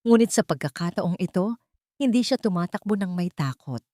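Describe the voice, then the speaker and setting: A woman's voice: fairly low pitch, lively intonation, fairly quick, mellow, no echo, clean studio sound. A woman reading a scripted dramatic story in an earnest, sentimental way. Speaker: audio drama narrator.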